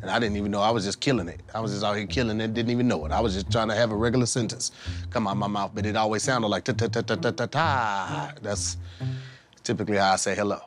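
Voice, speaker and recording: A man's voice: on the low side, steady tone, fast, faint music behind. A man comedian chatting to camera in a casual comic confessional style. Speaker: comedian contestant. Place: podcast studio.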